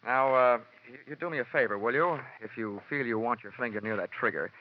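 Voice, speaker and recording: An actor playing a wisecracking, cynical insurance investigator in a mid-century American radio detective drama. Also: male voice, mid-range voice, fairly quick, noisy background, close to an everyday voice. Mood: coolly needling. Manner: wry, cynical, slangy. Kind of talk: 1940s-50s radio detective acting. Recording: dull vintage radio recording, music behind.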